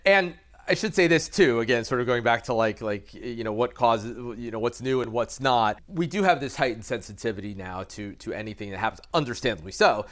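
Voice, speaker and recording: An American man speaking as an expert guest in an interview, explaining haltingly with repeated words and careful qualifications. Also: medium pitch, lively intonation. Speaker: expert guest, aviation reporter. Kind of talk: conversational interview analysis.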